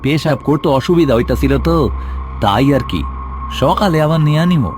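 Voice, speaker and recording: An actor playing a jittery, boastful village watchman with a halting delivery and rural Bangladeshi accent in a horror cartoon. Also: fairly high pitch, lively intonation, noisy background, close to an everyday voice. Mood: shivering, evasive.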